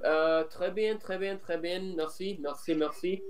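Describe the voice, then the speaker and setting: A man who is a beginner student in an online lesson, speaking haltingly and repeating words while he searches for them. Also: sits high, fairly even intonation, a little hiss or hum. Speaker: beginner French learner. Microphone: video call.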